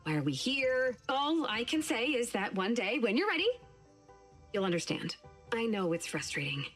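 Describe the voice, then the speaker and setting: Calm, knowing mentor. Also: female voice, on the high side, faint music behind. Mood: reassuring, knowing. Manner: gentle, measured, knowing. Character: calm, knowing, reassuring female mentor recording. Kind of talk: animated game cutscene acting.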